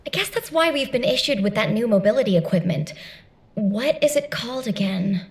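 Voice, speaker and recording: A woman's voice: on the high side, animated, brisk. A woman voicing a chatty, easygoing young female soldier giving a casual briefing in a video game.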